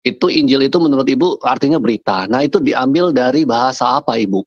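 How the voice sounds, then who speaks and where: Brief: male debater on a lo-fi video call, dry sound, mid pitch, patient questioning tone. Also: quick pace, no room sound. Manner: patient, probing, addresses her as "Bu" often. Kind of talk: casual online debate. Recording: dull lo-fi call audio.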